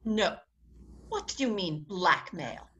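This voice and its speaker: A woman playing a proud, sharp-tongued society bride in radio comedy style, with an imperious, theatrical manner. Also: lowish voice, big pitch swings, slow, background noise. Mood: shocked, bitter. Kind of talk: 1940s radio comedy acting.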